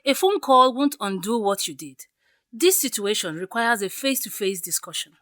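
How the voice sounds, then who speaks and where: A woman's voice: a little high, expressive, moderate pace, a bit bright. A woman playing a bossy, indignant young bridesmaid who scolds her friend emphatically in a radio drama. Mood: urgent, insistent.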